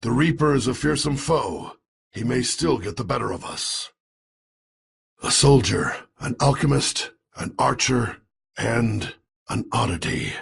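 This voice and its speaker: Casting: a grim noble game warrior, unhurried, dramatic swings in pitch, grave. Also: male voice, lively intonation, creaky, husky, exaggerated voice. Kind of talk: dramatic video game voice lines.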